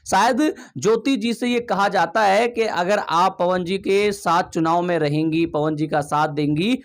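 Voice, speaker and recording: A man giving emphatic gossip commentary, asking rhetorical questions and addressing viewers directly. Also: high voice. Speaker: video commentator.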